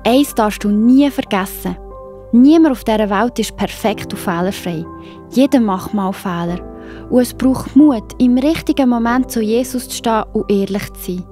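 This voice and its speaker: A woman's voice: fairly high pitch, normal speed, mellow, soft background music. A woman with a Swiss German accent narrating a scripted children's Bible story expressively, speaking directly to young listeners.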